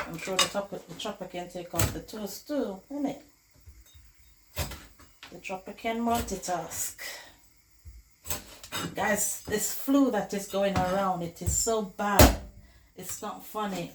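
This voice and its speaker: A woman with a hoarse, flu-worn voice, chatting casually to viewers as she cooks in her home kitchen. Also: medium pitch, a little slow, long pauses, background noise. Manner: chatty, addresses viewers as guys. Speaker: cooking vlogger host. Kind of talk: casual cooking tutorial chat. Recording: echoey kitchen with loud clatter. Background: pots, dishes and running water.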